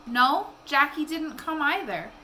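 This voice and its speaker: A motherly country woman from a storybook, as a woman reads her. She speaks frankly in a folksy way, with a wounded undertone. The voice is bright, over faint noise. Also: sits high, animated, unhurried, full character voice. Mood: reluctant, gentle. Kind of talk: storybook read-aloud dialogue.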